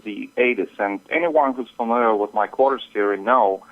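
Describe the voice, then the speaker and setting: A man's voice: lowish voice, moderate pace. Man on a narrow phone line. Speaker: market analyst, interview guest. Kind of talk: market commentary. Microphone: telephone.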